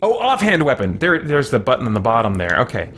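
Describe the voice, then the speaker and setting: Male let's-play host, casual and chatty, thinking aloud with lively swings in pitch over noisy game sound. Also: fast. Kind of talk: casual gameplay commentary with muttered self-talk. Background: video game sound and music.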